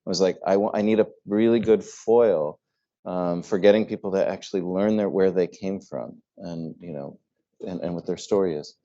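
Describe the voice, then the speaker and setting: A man's voice: low-pitched, moderate pace, mellow, dull audio. A man moderating a panel discussion, speaking thoughtfully with small stammered restarts.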